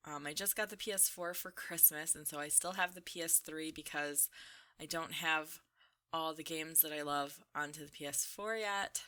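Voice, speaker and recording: Bright, chatty, low-pitched young woman. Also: normal speed. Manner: informal, rambling, slangy. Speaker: gaming video creator. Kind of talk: casual chatty commentary while playing a game.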